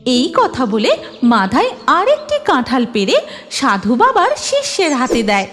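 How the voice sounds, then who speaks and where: High-pitched woman narrating a folktale. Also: a bit bright. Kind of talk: scripted cartoon folktale narration.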